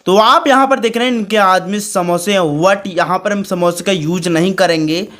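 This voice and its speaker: A man's voice: high voice, moderate pace, a bit bright. Male how-to presenter, chummy and direct, explaining on-screen steps. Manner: chummy, addresses viewers as friends and brothers. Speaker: tutorial channel host. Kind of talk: screen-recorded tutorial commentary.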